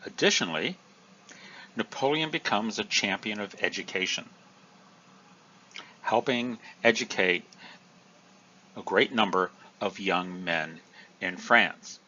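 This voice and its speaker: A man with a low voice lectures through a history review in a lively, emphatic manner. His tone is bright and forceful, and the recording sounds a little dull and lo-fi. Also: takes it slowly, pauses often. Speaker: history teacher.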